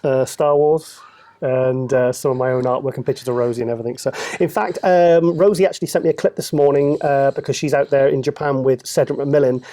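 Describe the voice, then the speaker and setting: A man's voice: medium pitch, fairly even intonation, fairly quick, warm tone, no room sound. A man co-hosting a casual show, chatty and jokey, laughing often. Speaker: co-host. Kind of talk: casual chat.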